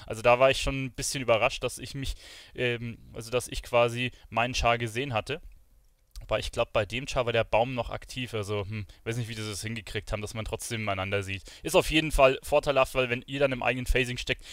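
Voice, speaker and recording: A man's voice: mid-range voice, fairly even intonation, quick pace, fairly bright tone, clean tone, no room sound, clean studio sound. A man giving casual let's play commentary, musing aloud in slangy language. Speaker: gaming streamer playing and commenting.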